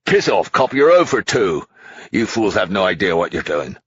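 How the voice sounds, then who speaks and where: A man's voice: mid-range voice, expressive, fairly quick, slightly rough, dry recording, lo-fi sound, pitched above the performer's own voice. A man voicing a crude, drunken rich older man who bellows scornfully. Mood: furious.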